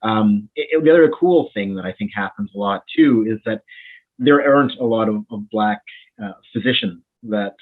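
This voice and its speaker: A man who grew up in Canada after moving from the Bahamas, speaking with a Winnipeg accent as a doctor in a casual interview, friendly and animated, often repeating words as he starts a thought. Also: lowish voice, normal speed. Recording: tinny phone-like line.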